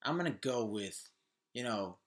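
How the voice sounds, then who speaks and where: A man's voice: lowish voice, expressive, normal speed. A man chatting casually as a podcast co-host, informal and sweary, often repeating himself. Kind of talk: casual chat about movies.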